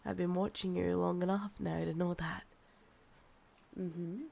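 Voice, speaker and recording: Casting: actress playing a Scottish vampiress thousands of years old, possessive yet soothing, unhurried, low gravelly murmur and whispers for ASMR roleplay. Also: female voice, little pitch movement, warm tone, exaggerated voice. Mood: gently probing. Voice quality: breathy, often dropping to a whisper. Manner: slow, coaxing, sighing. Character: ancient insatiable female vampire, possessive, soothing and sickly.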